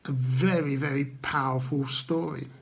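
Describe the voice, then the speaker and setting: A man telling stories to children and addressing them gently, with simple, unhurried phrasing and guiding questions. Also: mid-range voice, steady tone, gravelly. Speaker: children's Bible storyteller.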